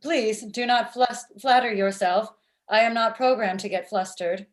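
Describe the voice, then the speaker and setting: A woman's voice: on the high side, measured pace, lightly acted. A woman playing a blunt, lovestruck robot matchmaker with stilted, literal speech in a comedy table read over video call. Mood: flustered. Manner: stilted, uncontracted, machine-like phrasing.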